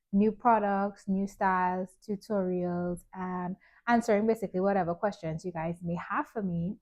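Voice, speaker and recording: Woman vlogger from Trinidad, relaxed chatty delivery full of fillers, slightly muffled recording. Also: medium pitch. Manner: chatty, many ums and likes. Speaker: hair stylist and YouTuber. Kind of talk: casual vlog chat to camera.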